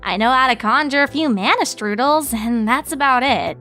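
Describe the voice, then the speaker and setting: A woman voicing a bookish, earnest unicorn mare in a fan story reading, measured and slightly prim. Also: high voice, bright, forceful tone, faint music behind, voice pushed up.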